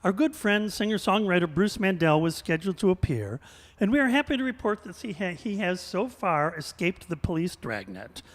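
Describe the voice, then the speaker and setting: A man's voice: high voice, sing-song, normal speed. A man hosting a live variety show, announcing a guest to the audience. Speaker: show host. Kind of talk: stage announcing. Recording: reverberant hall recording.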